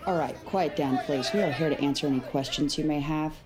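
A woman playing a brisk press officer in an audio drama, announcing and keeping order at a briefing. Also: deep voice, natural voice. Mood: official, controlling. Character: brisk female press officer controlling a room. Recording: loud crowd, off mic.

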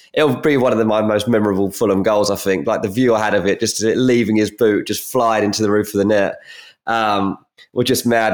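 A man co-hosting a football podcast, his voice raspy from shouting, excitable and laughing often. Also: lowish voice. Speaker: podcast co-host. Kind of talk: casual football podcast chat.

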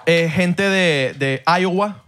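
A man's voice: high-pitched, slow, shouting. A man, co-hosting a live podcast, talking in loose slang and calling out to the crowd. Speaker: podcast co-host. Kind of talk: live podcast banter before an audience. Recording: noisy live podcast recording.

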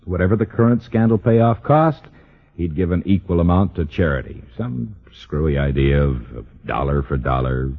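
A breezy, cynical American newspaperman in a 1950s radio drama. His voice is low and husky, with slangy, dismissive delivery. The vintage recording is narrow and phone-like. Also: expressive, normal speed, heavily acted. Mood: scornful.

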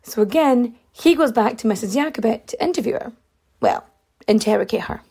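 A woman host telling a story casually, full of fillers, with swings in pitch and a voice she says is going. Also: expressive, brisk, dark tone, husky. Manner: chatty, many fillers, big pitch swings. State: losing her voice.

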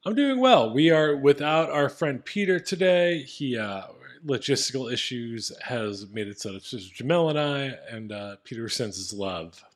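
A man's voice: medium pitch, expressive, normal speed, hardly any pauses. Male podcast co-host, middle-register voice, casual comedic banter, joking and salty-tongued. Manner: profane, joking.

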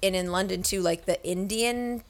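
A woman with a middling pitch tells stories in a casual, comic way. Her talk is slangy and freely profane, and she animatedly quotes herself and others as she goes. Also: moderate pace.

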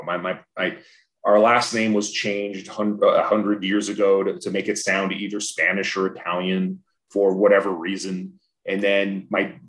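A man in his forties from Southern California, a podcast guest talking reflectively and earnestly, often restarting his sentences. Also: deep voice. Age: 40s. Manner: reflective, earnest, frequent restarts and repeated words. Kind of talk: casual interview conversation.

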